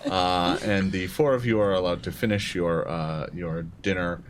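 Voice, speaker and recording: A man with a low voice acts as game master, narrating a tabletop roleplaying session in present tense. There is faint noise behind him. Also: moderate pace.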